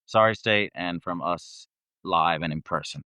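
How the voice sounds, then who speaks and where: Deep-voiced, rambling man chatting casually. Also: measured pace, bright, forceful tone, clear, resonant tone, no echo, studio quality. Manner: rambling, self-deprecating, profane. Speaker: guest, metal band vocalist. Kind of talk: casual chat.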